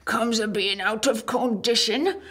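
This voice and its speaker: A woman's voice: on the high side, measured pace, a bit bright. A woman doing a humble, clumsy giant man. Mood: humble polite. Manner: deferential, rustic common speech. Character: big polite clumsy male giant, humble.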